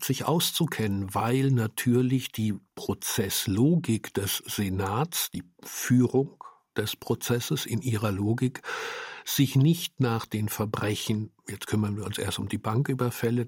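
A man's voice: fairly low pitch, a little mellow, breathy edge, no room sound, studio quality. A man giving an explanatory interview as one of the makers of a radio production.

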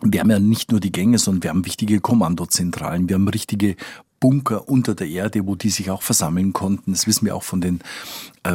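A man's voice: deep voice, darkish tone, husky, no echo, studio quality. A man explaining things informally as a correspondent, thinking aloud and correcting himself as he goes. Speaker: foreign correspondent. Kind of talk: informal explanatory interview talk.

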